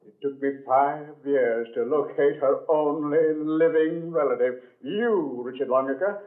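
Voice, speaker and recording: An actor playing a gloating, murderous doctor in a menacing, theatrical 1940s radio style. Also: male voice, a little high, unhurried, lightly acted. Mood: gloating menace. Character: gloating, murderous male doctor holding captives at gunpoint. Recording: muffled, echoey vintage radio drama recording.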